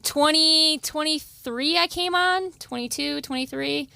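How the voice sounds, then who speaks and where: A woman's voice: high-pitched, a little slow, creaky, bright, forceful tone, no room sound. A woman in her thirties from West Virginia, a police officer talking in a casual interview with a light, youthful voice.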